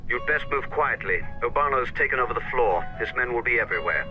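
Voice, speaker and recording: Male actor as wary spy buddy in a game, fast talking, cautioning, dull audio w music. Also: medium pitch, animated, close to an everyday voice. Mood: cautious, warning. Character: cautious male spy ally giving warnings.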